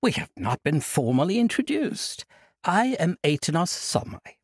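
A man's voice: a little high, big pitch swings, moderate pace, breathy edge, no room sound, pitched above the performer's own voice, exaggerated voice. A man doing a jovial, scatterbrained old monk. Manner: sing-song, jovial, scatterbrained. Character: eccentric cheerful old male monk, great master.

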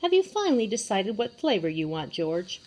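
A woman reader plays a kindly, calm man from a children's storybook. He talks gently and gives instructions like a patient guardian. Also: mid-range voice, normal speed, poor audio. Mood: curious.

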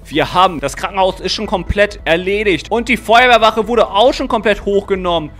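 A young man narrating a game role-play dramatically and excitedly, full of exclamations, close to the microphone. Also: high voice, fairly quick. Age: young adult. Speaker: gaming video host and player. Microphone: close microphone, seen. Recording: music under the voice. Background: music.

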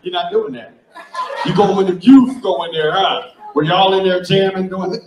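High-pitched male stand-up in echoey noisy theater. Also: fairly even intonation, normal speed, recorded from a distance. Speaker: stand-up comedian. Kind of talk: stand-up comedy on stage. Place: theater stage before an audience. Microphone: handheld mic, recorded from the audience.

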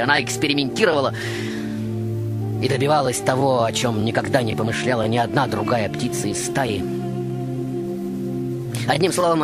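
A man hosting a radio show, delivering a scripted literary monologue in a dramatic, deliberate, theatrical manner. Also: mid-range voice. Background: music behind the voice.

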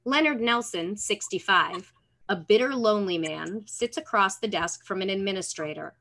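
Bright-voiced woman reading stage directions. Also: mid-range voice, moderate pace. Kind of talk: scripted narration. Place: room at home. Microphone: computer on video call.

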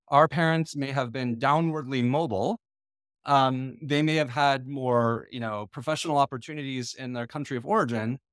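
A middle-aged man from the United States gives a conference talk over a video call, his voice sitting in a middle register. The sound is close and dry, with no room echo. He speaks in a measured, thoughtful way, pausing on ums and restarting his sentences. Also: medium pitch, fairly bright tone. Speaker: conference lecturer. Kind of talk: online lecture leading a discussion. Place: small indoor room. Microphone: video call webcam.

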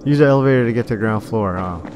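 A man casually commenting while playing a game, musing and joking in slow asides. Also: lowish voice, background noise. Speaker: game streamer. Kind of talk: casual let's-play commentary. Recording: voice over game music.

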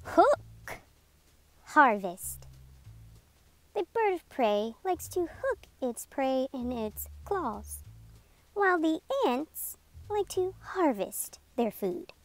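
A woman reading a picture book aloud to children, enunciating each word deliberately and sounding out vocabulary with animated emphasis. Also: high-pitched. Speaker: read-aloud host for children.

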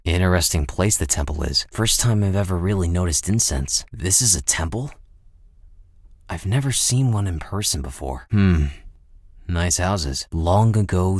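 An actor voicing a weary, cynical American mercenary in a video game, with a laconic, deadpan delivery. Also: male voice, deep voice, no echo, studio quality. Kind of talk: video game character voice lines, short barks and remarks.

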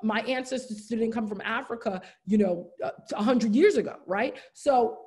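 A woman's voice: a little high, normal speed. A woman in her thirties, raised in the United States, leading an online diversity training and answering chat questions in an earnest, encouraging way. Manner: earnest, warm, repeats "wonderful" and "right?". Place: indoors, room with brick wall. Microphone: laptop webcam, video call.

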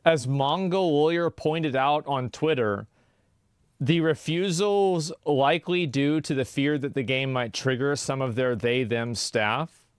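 A man's voice: medium pitch, unhurried, smooth, no echo, studio quality. A man streaming live, reacting in an incredulous, questioning way.

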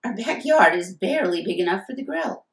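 A woman voicing a brisk, practical mother in a storybook reading. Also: mid-range voice, lively intonation, vocal fry, pitched above the performer's own voice. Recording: roomy echo.

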